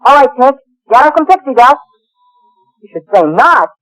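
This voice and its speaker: A man's voice: very high-pitched, sing-song, normal speed, fairly bright tone, exaggerated voice, rough recording. A young male actor playing a cocky, adventurous, bossy magical boy in an old radio drama. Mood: bossy, confident. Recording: faint music behind the voice.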